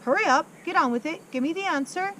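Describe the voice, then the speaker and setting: A woman voicing a huge, brutal headmistress who bellows slow, heavy insults at a child in a storybook reading. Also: extremely high pitch, expressive, bright, forceful tone, a little hiss or hum, voice pushed up, full character voice. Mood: bullying.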